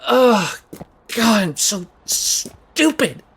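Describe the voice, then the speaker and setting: A man's voice: high voice, big pitch swings, very slow, fairly bright tone, husky, full character voice. A man acting in an audio drama as an awkward, overeager young man who stammers and rambles nervously. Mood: self-berating, distressed. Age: young adult.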